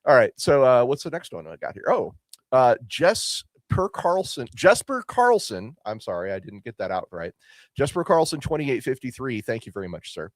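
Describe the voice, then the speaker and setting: A man co-hosting a casual podcast, reading viewer messages aloud and reacting with excited exclamations and frequent laughter. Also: mid-range voice, normal speed, dry recording. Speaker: podcast co-host.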